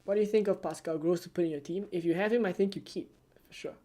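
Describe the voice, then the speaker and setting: A streamer from Singapore, answering viewer questions in a live stream with a soft, low-key, almost ASMR-like delivery. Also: fast talker. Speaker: fantasy football streamer.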